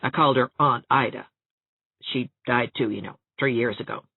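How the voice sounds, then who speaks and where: Woman as a cheerful, husky young man, self-mocking. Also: very deep voice, fairly even intonation, moderate pace, dry recording, phone-quality sound, heavily acted. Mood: wry, chatty.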